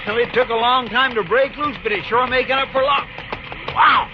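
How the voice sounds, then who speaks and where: An American actor playing a folksy, loyal and deferential deputy sidekick in a 1950s radio western. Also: male voice, very high-pitched, fairly even intonation, normal speed, over music. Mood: excited. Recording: noisy, muffled 1950s radio recording.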